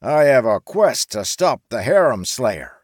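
A pompous merchant in a fantasy audiobook, his voice pitched high and swooping. He speaks slowly and grandly, quick to indignation, savouring his own importance. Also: very animated, dry recording, studio quality. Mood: grandiose. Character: pompous, fat, easily outraged male slave merchant. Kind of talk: audiobook character acting.

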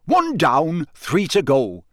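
A man voicing a jovial middle-aged male games official in an audiobook, chummy, booming and theatrical. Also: very animated, unhurried, no room sound, clean studio sound. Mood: excited, booming. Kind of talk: acted audiobook dialogue.

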